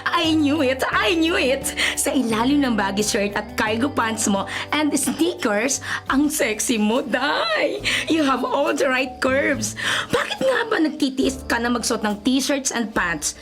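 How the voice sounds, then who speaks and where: A woman's voice: high voice, big pitch swings, takes it slowly, few pauses, a bit bright, heavily acted. A woman with a Filipino accent playing a gushing, insistent young big-sister figure in a radio drama. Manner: gushing, insistent, mixes in English phrases. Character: bubbly, bossy, affectionate big-sister woman, Ate Karen. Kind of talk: Tagalog radio drama acting. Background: music bed.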